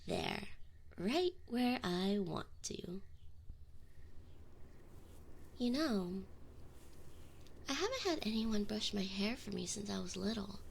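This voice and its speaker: A woman's voice: medium pitch, expressive, a little slow, vocal fry, breathy edge, full character voice. A woman voicing a teasing, flustered but affectionate succubus in an intimate ASMR roleplay, close to the microphone with whispered asides. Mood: coy, affectionate. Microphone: close binaural microphone.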